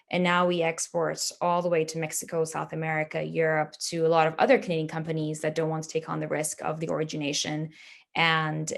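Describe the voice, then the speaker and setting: Woman in her 30s from dubai, slightly low voice, explaining stuff plainly in an interview. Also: gravelly. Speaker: interview guest, family business executive. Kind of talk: conversational interview answers.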